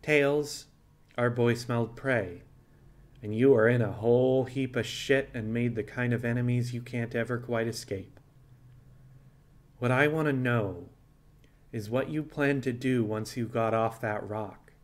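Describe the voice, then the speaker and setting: A man voicing a frank, thoughtful animal pirate captain with a level, folksy and deliberate way of talking. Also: medium pitch, lightly acted.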